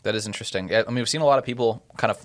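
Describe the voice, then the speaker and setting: A man hosts a relaxed podcast interview. His voice sits very low and he speaks quickly. He keeps his questions and replies short and casual. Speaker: podcast host.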